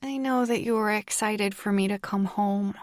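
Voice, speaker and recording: A woman's voice: medium pitch, moderate pace, no echo, clean studio sound, lightly acted. A woman voicing a dramatic, gushing young adult daughter in an audiobook, with an emphatic, youthful delivery. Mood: apologetic. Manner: whiny, enthusiastic, emphatic. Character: dramatic, gushing college-age daughter on the phone.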